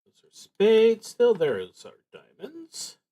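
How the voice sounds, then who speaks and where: A man thinking aloud as he narrates a solo tabletop roleplaying game, in a halting, musing, wry way. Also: high-pitched, huge pitch swings, dark tone.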